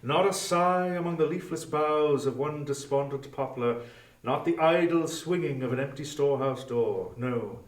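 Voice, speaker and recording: Echoey, theatrical male storybook narrator. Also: mid-range voice. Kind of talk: dramatic scripted literary narration. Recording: echoey room, distant from microphone.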